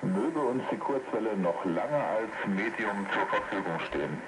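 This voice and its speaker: A man's voice: on the low side, animated, measured pace. A man from Germany hosting a hobby radio show, making announcements, heard over shortwave radio. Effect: shortwave radio broadcast. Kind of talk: radio show sign-off and thank-you announcements. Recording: noisy shortwave radio reception with static. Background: radio static and hiss.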